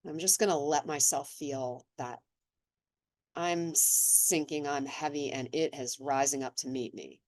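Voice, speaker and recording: A woman slowly and gently leading a guided meditation, speaking in a soothing way. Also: low-pitched, dry recording. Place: seated in an office chair.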